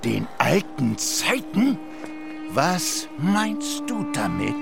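An actor playing a stern, domineering royal uncle who condescends and bullies in a children's radio play. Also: male voice, a little high, very animated, extremely slow, gravelly, exaggerated voice. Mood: condescending, probing. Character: stern, menacing royal uncle, a usurper king. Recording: music under the voice.

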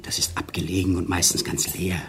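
An actor playing a smooth-talking, self-pitying conman lover in a German radio crime drama, intimate and theatrical, often dropping to murmurs. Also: low voice, flat delivery, fairly quick, in a whisper. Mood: conspiratorial.

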